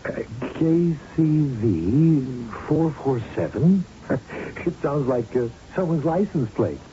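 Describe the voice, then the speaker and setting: An actor playing a middle-aged company boss in a radio drama, urbane, patronizing and scheming, with deliberate phrasing. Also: male voice, fairly high pitch, very animated, warm tone. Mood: evasive, dismissive. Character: smooth, condescending, scheming male company boss. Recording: muffled, faintly noisy old radio recording.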